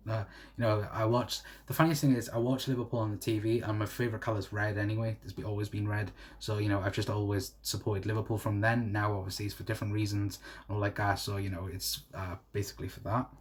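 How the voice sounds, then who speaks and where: Young Welsh man, twenties, slightly low voice, rambling casual streamer reading comments. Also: little pitch movement, fast talker. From South Wales. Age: 20s. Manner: rambling, many fillers and "to be fair". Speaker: livestream host. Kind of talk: casual livestream chat reading viewer comments.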